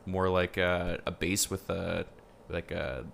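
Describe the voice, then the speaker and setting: A man's voice: low voice, level tone, slow, gravelly, fairly bright tone, a little hiss or hum. A man chatting enthusiastically as host, nerdy and curious, with lots of ums. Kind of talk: casual chat.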